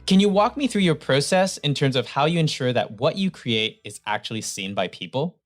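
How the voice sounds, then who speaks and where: A man's voice: mid-range voice, lively intonation, brisk. A man hosting an interview, upbeat and enthusiastic, summing up his guest's points. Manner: upbeat, enthusiastic, sums things up. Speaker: interview host.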